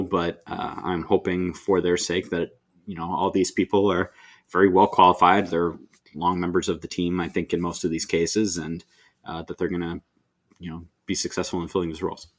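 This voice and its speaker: Deep-voiced male podcast co-host. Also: a bit bright. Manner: measured, thoughtful, with fillers. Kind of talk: casual chat.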